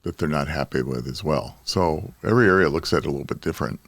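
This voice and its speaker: A man's voice: a bass voice, quick pace, vocal fry, dry recording. A man co-hosting a podcast, explaining things casually and repeating phrases for emphasis. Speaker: podcast co-host. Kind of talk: casual tech discussion.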